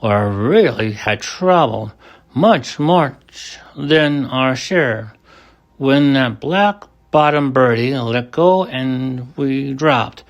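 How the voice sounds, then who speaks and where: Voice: medium pitch, animated, very slow, pitched below the performer's own voice. A storybook reader voicing a tiny, worried mayor of little folk in a plaintive, pleading way. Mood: distressed.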